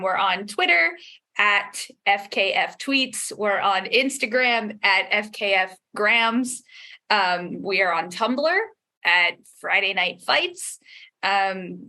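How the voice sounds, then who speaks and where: A woman's voice: medium pitch, sharp tone. A woman chatting casually as a podcast guest, wry, self-deprecating and giggly. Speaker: audio drama podcast creator, panel guest. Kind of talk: casual chat.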